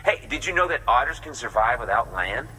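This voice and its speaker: A man's voice: mid-range voice, big pitch swings, brisk, sharp tone, in a large hall, a little hiss or hum, poor audio. An actor playing a goofy, eccentric man in a video game cutscene, hammy and desperate for laughs, chuckling at his own puns. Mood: jokey, eager.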